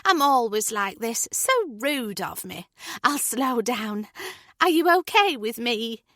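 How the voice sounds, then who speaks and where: A woman's voice: a little high, lively intonation, a bit bright, no room sound, clean studio sound, voice pushed up. A woman putting on a gushing northern neighbour. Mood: apologetic chatter. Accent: slight northern English. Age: 40s-50s. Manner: gushing, rapid-fire, overbearing. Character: chatty hyperactive middle-aged British woman neighbour.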